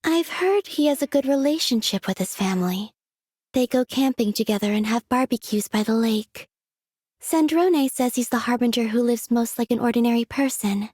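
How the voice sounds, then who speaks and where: An actress voicing a gentle, aloof young woman in a video game, with a soft breathy voice and unhurried, wistful, quietly curious delivery. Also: a little high, dry recording, studio quality. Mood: dreamy musing. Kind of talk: scripted game character voice lines.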